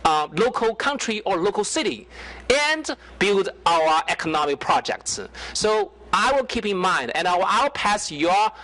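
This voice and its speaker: Halting man. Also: sits high, sharp tone, poor audio. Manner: careful, halting, frequent hesitations. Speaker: panelist. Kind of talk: public forum remarks.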